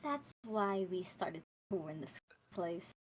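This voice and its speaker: A woman's voice: lowish voice, animated, unhurried, slight background noise. A woman playing a condescending, breezily dismissive tour guide in a script read-through. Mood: soothing, condescending.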